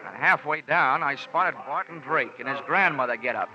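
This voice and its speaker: A man's voice: mid-range voice, normal speed, close to an everyday voice. An actor playing a wry, hard-boiled insurance investigator narrating his case in 1940s radio acting. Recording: muffled old radio broadcast.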